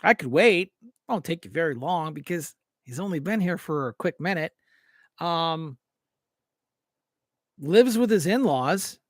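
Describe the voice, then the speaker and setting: Man, American accent, high voice, dry close sound, blunt, folksy, opinionated, repeats himself for emphasis. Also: lively intonation, measured pace, long pauses, no echo. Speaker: talk show host and local political candidate. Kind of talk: opinionated political rant, informal and off-the-cuff.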